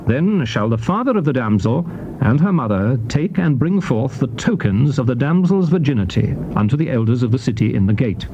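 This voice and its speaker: A British man narrating scripture in a measured, formal, declamatory style on a dull, noisy old cassette recording. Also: medium pitch, sing-song. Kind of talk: solemn dramatized Bible reading.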